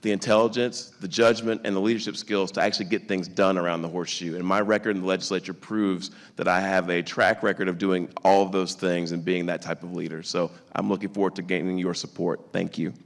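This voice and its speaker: A man's voice: sits low, little pitch movement, fairly quick, few pauses. A man from Dallas giving a campaign speech at a candidate forum, recorded in a reverberant hall. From Dallas, Texas, USA. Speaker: mayoral candidate, state legislator. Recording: echoing hall with long reverb.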